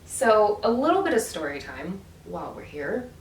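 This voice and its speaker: A woman's voice: mid-range voice, very animated, normal speed, a little hiss or hum. A woman vlogging in a casual, chatty storytelling way, full of fillers and restarts. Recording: echoey room from a distance with faint ambience.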